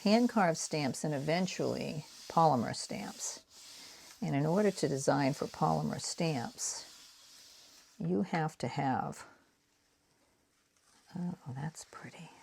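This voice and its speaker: A low, gravelly-voiced woman in her sixties with a light Boston accent talks her way through a crafting session. She chats and rambles, often slipping into soft whispers as she works. A faint hiss sits under her voice. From New England, United States. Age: 60s. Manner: chatty and rambling, with soft whispered asides while working. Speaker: artist and craft vlogger. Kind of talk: casual chat while making prints.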